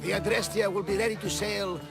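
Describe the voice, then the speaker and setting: A man's voice: high-pitched, fairly even intonation, a little fast, creaky, loud music behind the voice. A man playing a hearty, loyal old ship's captain, chiding but fond, in a video game cutscene. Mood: fond encouraging.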